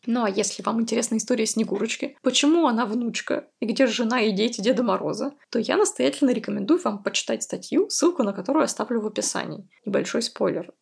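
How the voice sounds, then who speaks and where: Husky woman with a creaky voice. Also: a little high, darkish tone. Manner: chatty, explanatory, with light laughs. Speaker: podcast host. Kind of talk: quiz podcast explaining answers.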